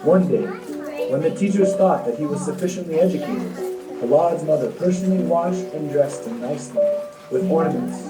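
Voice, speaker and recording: A woman's voice: low voice, brisk. A woman narrating a scripted devotional tale as storyteller for a puppet show. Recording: echoey, distant, music underneath. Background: music.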